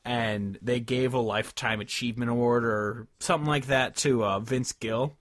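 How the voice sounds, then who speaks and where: A man's voice: mid-range voice, fairly even intonation, moderate pace, a bit bright, dry recording, studio quality. A man chatting casually and informally as a podcast host, swearing freely as he talks about music. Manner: profane, informal.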